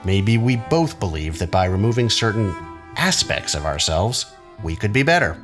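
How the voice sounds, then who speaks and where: Low, swooping, sardonic man playing an arrogant schemer. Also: very animated, normal speed, a bit bright, faint music behind. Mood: taunting, intense. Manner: sardonic, theatrical, probing with rhetorical questions. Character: arrogant, menacing scientist, cynical and taunting man. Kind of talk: audio drama acting.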